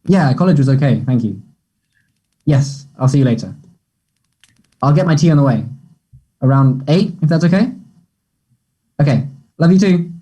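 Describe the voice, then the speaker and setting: A man's voice: on the high side, animated, moderate pace, long pauses, mellow, natural voice, rough recording. A man acting a shy, anxious, polite young student in a table read, speaking hesitantly and evasively. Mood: nervous, guarded.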